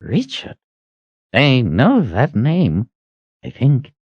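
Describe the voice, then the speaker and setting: A man's voice: medium pitch, huge pitch swings, drawn-out words, a little mellow, husky, no echo, dull audio, pitched above the performer's own voice, full character voice. A man voicing an old wizard grandfather with an intense, brooding manner and an explosive temper, in a dramatic audiobook reading. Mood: bewildered.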